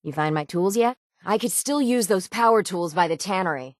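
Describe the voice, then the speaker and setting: A woman voicing a gruff, stubborn, hard-bitten shipwright in a video game, with salty, plainspoken seafaring talk. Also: lowish voice, lively intonation, moderate pace, no room sound, clean studio sound, close to an everyday voice. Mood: grumbling, determined. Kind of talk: video game character dialogue.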